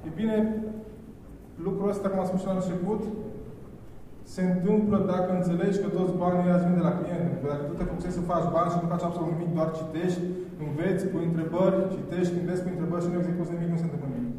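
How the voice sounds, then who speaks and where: A man's voice: sits high, fairly even intonation, warm tone. A man in his thirties giving an informal business presentation on stage, putting questions to the audience. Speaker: conference speaker. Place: large hotel ballroom, on stage before an audience. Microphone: handheld microphone. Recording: echoey hall from far off, faint noise.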